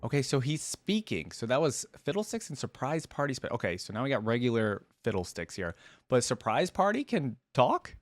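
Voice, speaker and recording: A man's voice: mid-range voice, big pitch swings, fairly quick, slight background noise. A man hosting a reaction video, chatting casually and reading aloud with comments of his own.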